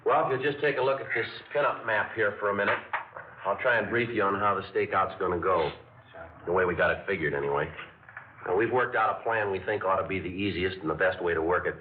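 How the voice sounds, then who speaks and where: Man playing a steady, clipped police sergeant for an old radio drama, very low voice, thin distant broadcast sound with music. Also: fast, echoey room, phone-quality sound, background noise, natural voice. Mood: briefing. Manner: clipped, matter-of-fact delivery. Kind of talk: 1950s radio drama acting with narration.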